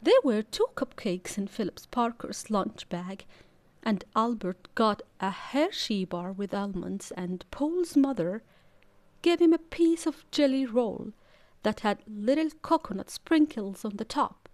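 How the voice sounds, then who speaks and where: A woman narrating a children's storybook aloud in an expressive, deliberate, emphatic storytelling manner. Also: fairly high pitch. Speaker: audiobook narrator.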